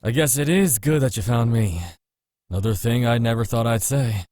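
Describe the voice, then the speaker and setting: A man playing a gruff but protective and reassuring companion in a visual novel game. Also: medium pitch, moderate pace, a little mellow, natural voice.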